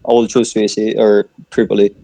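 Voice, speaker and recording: A man's voice: lowish voice, little pitch movement, fairly quick, vocal fry, mellow, no room sound. A man giving casual advice on an online voice call, often repeating his words. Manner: repeats words while advising.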